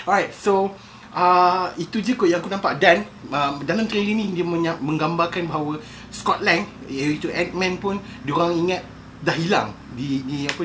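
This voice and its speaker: A young Malaysian man chatting casually and excitedly as a vlogger, with slangy speech and swearing, in a room at home. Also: a little high. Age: young adult. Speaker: vlogger reacting to a film trailer. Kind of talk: casual excited reaction chat. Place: small indoor room at home. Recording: clearly noisy home recording.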